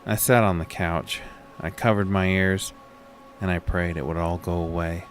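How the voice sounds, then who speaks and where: Haunted male horror narrator played by a male actor: very low voice, unhurried and weary, music underneath. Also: fairly even intonation, lightly acted. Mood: somber. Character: haunted man recounting a horror, weary and uneasy. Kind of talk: scripted first-person horror audio drama narration.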